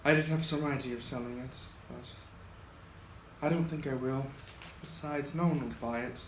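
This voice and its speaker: A man's voice: mid-range voice, fairly even intonation, a little slow, close to an everyday voice. Young man as wary old soldier, echoey. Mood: wary, warning. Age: young adult. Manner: reluctant, warning. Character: weathered, wary middle-aged army sergeant major. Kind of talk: amateur high school stage acting. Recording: echoey room, faint noise.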